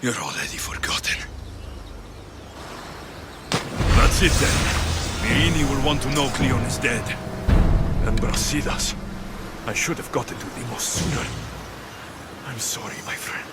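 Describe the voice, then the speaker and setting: An actor playing a vengeful, grieving young Greek mercenary warrior in a dramatic video game cutscene. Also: male voice, medium pitch, a little slow, pauses often, close to an everyday voice. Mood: grim, grieving. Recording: loud music behind the voice. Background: loud music.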